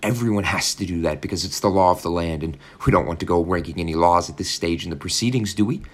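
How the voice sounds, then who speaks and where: A man's voice: deep voice, fast talker, breathy edge. A man voicing a fussy, overly sweet older landlady who coos and gushes at her guest. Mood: cooing. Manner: fussy, cooing and gushing.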